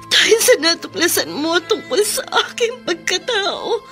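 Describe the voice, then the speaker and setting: High-voiced lovelorn young woman. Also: fairly bright tone, exaggerated voice. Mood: anguished, tearful. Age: young adult. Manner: pleading, emotionally intense delivery. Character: lovelorn young woman secretly in love with her best friend. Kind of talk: Filipino radio romance drama acting.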